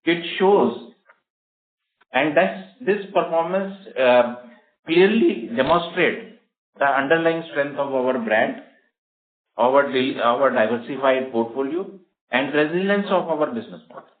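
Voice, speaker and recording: A man's voice: mid-range voice, animated, a little slow. Indian man on an echoey phone line. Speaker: company executive answering questions. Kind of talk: earnings call answers.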